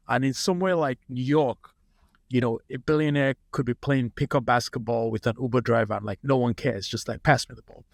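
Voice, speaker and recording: Man, late 30s, anecdotal storyteller, medium pitch, dry close mic. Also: vocal fry, dry recording. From Nigeria. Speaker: startup founder, guest. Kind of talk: casual podcast interview.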